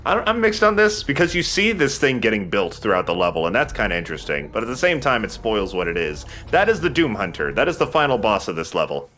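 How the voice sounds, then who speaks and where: A man's voice: fairly low pitch, sing-song, quick pace, hardly any pauses, bright, forceful tone, slight background noise. A man hosting a let's play, giving casual gameplay commentary.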